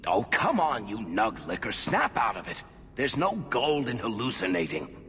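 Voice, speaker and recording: Sardonic dwarf rogue in a game, lowish voice that swings around a lot, echoey. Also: male voice, big pitch swings, normal speed, fairly bright tone, a little hiss or hum. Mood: disbelieving, mocking. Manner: sardonic, wisecracking. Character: wry, roguish male dwarf adventurer and storyteller. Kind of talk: conversational video game acting.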